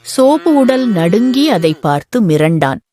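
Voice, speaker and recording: A woman narrating a children's bedtime story in a gentle, expressive storytelling manner. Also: fairly high pitch, unhurried, a crowd in the background.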